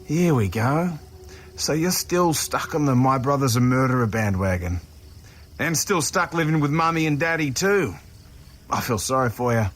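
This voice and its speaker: An actor with an Australian accent playing a cocky older brother who needles and mocks in matey slang, in a naturalistic audio drama. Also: male voice, medium pitch, lively intonation, normal speed, lightly acted. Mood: scornful. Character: cocky taunting older brother, a grown man.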